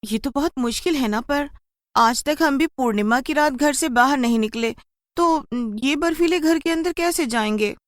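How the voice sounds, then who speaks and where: Woman voicing an anxious young village girl, higher-pitched. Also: normal speed, no echo, studio quality, natural voice. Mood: worried. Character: frightened, pleading young village girl, kind-hearted daughter. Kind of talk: animated Hindi horror story dialogue.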